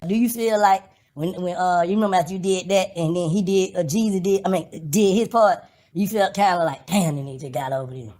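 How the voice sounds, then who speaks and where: A woman's voice: lowish voice, brisk, few pauses, mellow. A woman interviewing in casual chat, prompting informally with slangy phrasing. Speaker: podcast interviewer.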